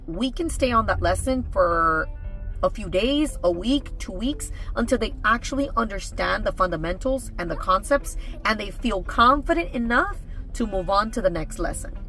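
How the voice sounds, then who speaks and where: A woman's voice: medium pitch, a bit bright. A woman narrating a vlog as a homeschooling mother, speaking in short emphatic phrases and posing rhetorical questions. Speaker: homeschooling mother and video host. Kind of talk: scripted-sounding narration for a vlog. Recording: music playing behind the voice.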